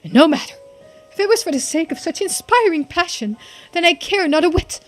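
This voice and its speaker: A woman's voice: extremely high pitch, very animated, a little fast. Woman doing a posh dramatic romantic gent for a game, swoops around a lot, faint music behind. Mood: enraptured. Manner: florid, formal, dramatic phrasing. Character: theatrical, romantic, well-spoken gentleman, easily enraptured. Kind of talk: video game dialogue read aloud in character.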